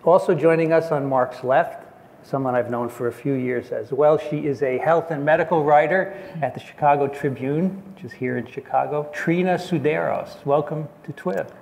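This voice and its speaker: A man hosting a live podcast interview, asking questions in a calm, friendly way. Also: fairly high pitch, normal speed, gravelly. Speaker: podcast host. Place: conference stage before an audience. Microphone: lapel mic. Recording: long hall reverb, faint noise.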